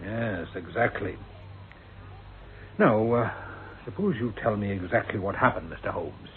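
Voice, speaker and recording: A man's voice: on the low side, narrow, tinny audio, a little hiss or hum, lightly acted. A man playing a methodical police sergeant in a radio drama, courteous but officious and probing. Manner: officious, courteous, deliberate questioning. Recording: thin, band-limited old radio recording.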